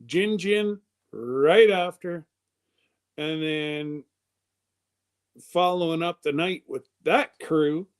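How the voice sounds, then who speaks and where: Slow, rambling man in his fifties. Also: on the high side, clear, resonant tone. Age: 50s. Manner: rambling, loose and conversational. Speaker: live-stream host. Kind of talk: casual live-stream chat.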